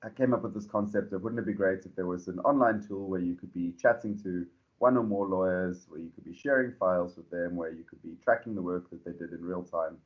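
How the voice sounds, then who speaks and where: A South African man, a startup founder, answering podcast questions in a relaxed, rambling way full of fillers and restarts. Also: low-pitched, fairly quick, muffled recording. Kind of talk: podcast interview.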